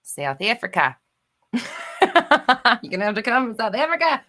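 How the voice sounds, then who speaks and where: Host type: upbeat woman, animated pitch, bright and chatty, reads comments live. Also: measured pace. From United States. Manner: bubbly, laughs often, reads out viewer comments. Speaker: livestream host. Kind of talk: casual live chat reading comments.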